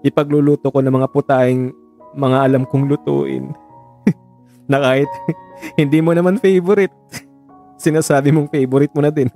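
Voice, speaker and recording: A man acting as a devoted, caring partner in an audio drama, earnest, coaxing and gently scolding with emotion. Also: fairly high pitch, fairly even intonation, normal speed, vocal fry, pauses often, soft background music, pitched above the performer's own voice. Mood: pleading, emotional.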